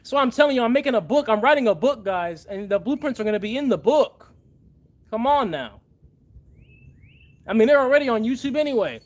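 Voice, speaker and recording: A man's voice: very high voice, animated, brisk, pauses often, muffled recording. A man hosting a casual livestream, earnestly thanking donors by name and rallying viewers. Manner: fervent, rallying. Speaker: livestream host and machine builder.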